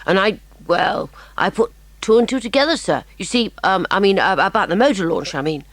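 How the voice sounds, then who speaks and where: A woman's voice: mid-range voice, animated, fairly quick, dry recording. A woman playing a clever, polite, eager schoolboy detective in a dramatised children's audiobook. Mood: eager explaining. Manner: polite, explanatory, modest, slightly hesitant. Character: bright, eager, clever boy detective named Fatty.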